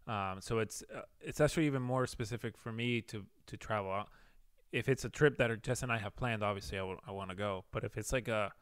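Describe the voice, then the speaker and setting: A man's voice: fairly low pitch, quick pace, clear, resonant tone, dry recording, clean studio sound. A young man originally from Venezuela, a pilot, chatting in an easygoing, hesitant way with many fillers in a couple's Q&A. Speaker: airline pilot, boyfriend guest. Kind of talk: casual couple Q&A chat.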